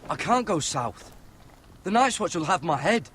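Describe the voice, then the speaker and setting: A man's voice: high voice, very animated, normal speed, a bit bright, a little hiss or hum. A man playing an earnest, uneasy young deserter in a fantasy video game, speaking with hesitant sincerity. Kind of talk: dramatic video game acting.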